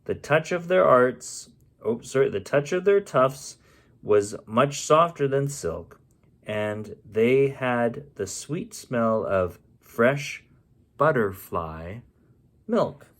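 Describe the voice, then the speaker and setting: A man reading a rhyming children's storybook aloud as a bedtime story host, with an expressive, unhurried delivery and the odd stumble. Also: medium pitch. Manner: unhurried, stumbles and corrects himself.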